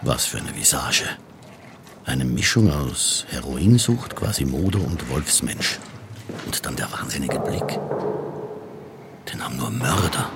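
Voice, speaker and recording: An actor playing a gruff, cynical, hard-drinking Austrian police detective in a radio crime drama, sardonic and coarse, muttering asides. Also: male voice, very deep voice, lively intonation, unhurried, pauses often, loud music behind the voice, full character voice. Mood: disgusted muttering. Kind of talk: German-language radio play dialogue and inner monologue.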